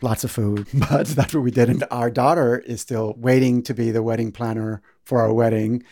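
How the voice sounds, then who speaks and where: A man's voice: mid-range voice, steady tone, normal speed. A man born in Cuba telling his own story in a good-humored way for a podcast. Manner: warm, good-humored, laughs lightly. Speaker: interviewee. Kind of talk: personal storytelling.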